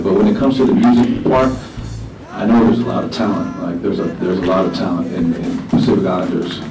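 A man from New Zealand, a reggae band singer in his thirties, answering panel questions in a relaxed, rambling way with many fillers, speaking into a table mic in a meeting room. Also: deep voice, roomy sound, distant mic, rough recording.

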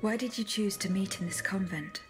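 A woman's voice: mid-range voice, moderate pace, music in the background, lightly acted. A woman voicing a composed, reserved automaton bodyguard in a video game, speaking formally and with restraint. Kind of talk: video game cutscene dialogue.

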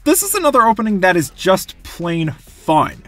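A man's voice: high-pitched, normal speed, faint music behind. A young man giving enthusiastic, gushing scripted commentary as a video game reviewer. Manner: gushing, animated.

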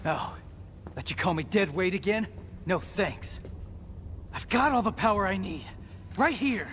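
A man playing a defiant, hot-headed young demon hunter in a video game, gravelly, blunt and indignant. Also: high voice, big pitch swings, a little slow, a bit bright, a little hiss or hum, exaggerated voice. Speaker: game character actor. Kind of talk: dramatic video game cutscene acting.

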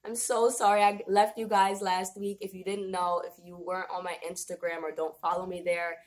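A young woman with a slightly raspy voice, chatting informally to camera as she gives a casual beauty product review indoors. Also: on the low side, flat delivery, a little fast. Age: young adult. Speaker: beauty vlogger. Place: small indoor room, plain backdrop.

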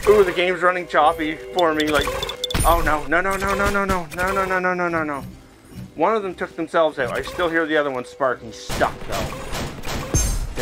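A man giving casual, excitable commentary as he plays a virtual reality game, reacting aloud as he goes. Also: fairly high pitch. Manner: excitable, reacting aloud while physically playing. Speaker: video game streamer.

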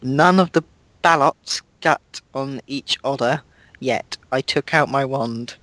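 Young man, mock-dramatic reader, unhurried deadpan delivery with sarcastic asides, dry close sound. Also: mid-range voice, dry recording, rough recording. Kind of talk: mocking dramatic reading.

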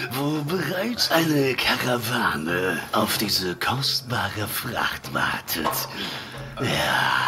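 An actor playing a fat, boastful, lecherous mercenary slaver in a fantasy audio drama, with a drawling, gloating manner. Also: male voice, fairly low pitch. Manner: drawling, gloating, leering, chuckling.

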